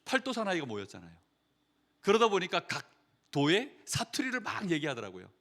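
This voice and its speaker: A middle-aged man preaching a sermon in a conversational way, asking the congregation questions and telling anecdotes, moving from loud emphasis to quiet trailing phrases. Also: high-pitched. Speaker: pastor.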